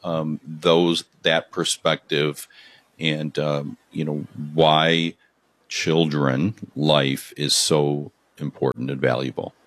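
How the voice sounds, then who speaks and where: A male podcast host with a very deep voice talks casually, stopping and restarting his sentences often. He sounds dry and close to the mic. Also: little pitch movement, fairly bright tone, no echo. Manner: halting, many restarts and fillers. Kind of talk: casual interview chat.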